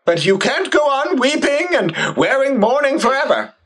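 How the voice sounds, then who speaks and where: An actor playing a fussy, sentimental elderly male servant in an audio play, halting and stammering, drawing words out in a pleading wail. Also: high-pitched, sing-song, fairly bright tone. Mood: consoling. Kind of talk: theatrical audio play acting.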